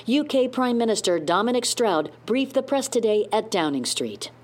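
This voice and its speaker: An actress playing a formal news reporter reading a serious bulletin in an audio drama. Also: female voice, a little high, sing-song, normal speed.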